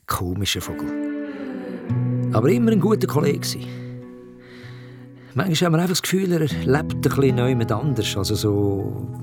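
Low-voiced Swiss office clerk. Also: male voice. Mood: musing, wry. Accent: Swiss German. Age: middle-aged. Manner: hesitant, colloquial, wry. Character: disgruntled, loyal middle-aged male office clerk. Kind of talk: conversational radio drama monologue. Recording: guitar music behind. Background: plucked guitar music.

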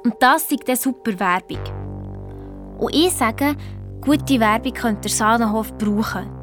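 Actress as a high-voiced Swiss German girl detective. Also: a little slow, soft background music, lightly acted. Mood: earnest. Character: sensible, sceptical young girl detective Fabi. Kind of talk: colloquial first-person storytelling in an audio drama.

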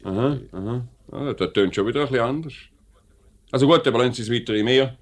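An actor playing an assured, plain-spoken company director with a Swiss German accent in a 1950s radio drama, brisk and authoritative. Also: male voice, medium pitch, lightly acted. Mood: probing, brisk.

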